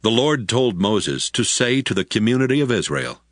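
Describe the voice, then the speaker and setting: Low, expressive male scripture narrator. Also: normal speed, no room sound, clean studio sound.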